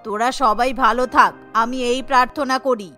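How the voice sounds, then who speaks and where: A woman voicing a gentle, forgiving elderly mother in a cartoon, softly pleading. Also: on the high side, steady tone, moderate pace, soft background music, pitched below the performer's own voice. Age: older.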